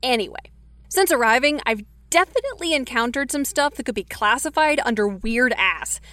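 A woman's voice: on the high side, animated, normal speed, sharp tone, no room sound. Dry, irreverent female researcher, acted, close sound. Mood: sardonic, bored. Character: sardonic, casual woman researcher of the paranormal. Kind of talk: audio fiction log recording.

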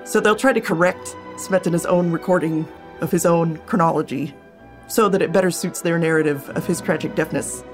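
Low-voiced woman explaining as an expert. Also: fairly quick, soft background music. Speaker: interviewed scholar. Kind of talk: interview answers.

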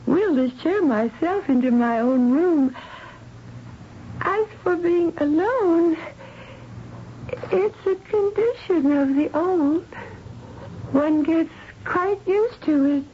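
High-voiced, sweet, wry old lady. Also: slow pace, pauses often. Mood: gently resigned. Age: older. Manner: genteel, wry, gently teasing. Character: sweet, wry, self-deprecating elderly lady in wheelchair. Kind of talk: radio drama acting. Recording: dull, hissy old radio broadcast.

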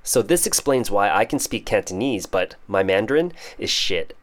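A man's voice: fairly low pitch, expressive, normal speed. A young man with a Canadian accent hosting a podcast, casually explaining English idioms with jokes. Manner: casual, joking, explains terms. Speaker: podcast host. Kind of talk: scripted podcast monologue teaching English idioms.

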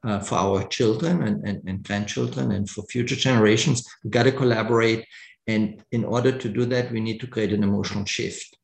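A German-born middle-aged man speaks with a gravelly edge to his voice, his words ringing a little in a reverberant room. He talks thoughtfully, restarting phrases and filling pauses as he explains his ideas. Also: fairly low pitch. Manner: thoughtful, many fillers and restarts. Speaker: author, guest. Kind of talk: conversational interview.

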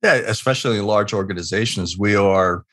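A man's voice: lowish voice, a little fast, fairly bright tone. A middle-aged man from Hungary, a guest on an interview show, telling a story in a loose conversational way with lots of "you know" and restarts. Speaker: guest, leadership expert.

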